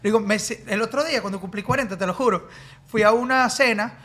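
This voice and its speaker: A man's voice: high voice, quick pace, bright, forceful tone. A Venezuelan man in his forties, a musician guest telling stories in a talkative, joking way. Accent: Venezuelan Spanish. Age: 40s. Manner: talkative, joking, self-deprecating. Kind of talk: casual interview chat.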